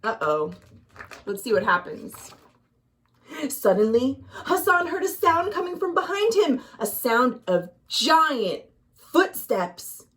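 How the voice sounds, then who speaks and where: An American woman narrates for children in a high, very animated voice. She takes her time, leaning on words with dramatic emphasis as a librarian at storytime would. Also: slow pace. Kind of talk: expressive children's storybook narration with questions to listeners.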